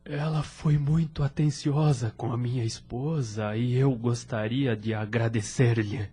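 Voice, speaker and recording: Wounded, devoted widower for radio-style melodrama, played by a man: very raspy, slow, plaintive and emotional. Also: mid-range voice, fairly even intonation, warm tone. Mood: subdued sorrow. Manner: emotional, earnest, plaintive delivery. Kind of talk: melodramatic audio drama acting.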